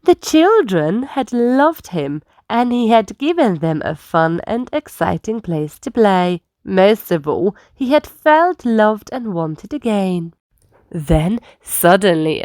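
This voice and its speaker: Female storyteller for children's picture book: expressive, carefully phrased, very lively intonation, dry close recording. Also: mid-range voice, takes it slowly, dry recording. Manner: careful, animated phrasing for young listeners. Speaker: storyteller reading aloud.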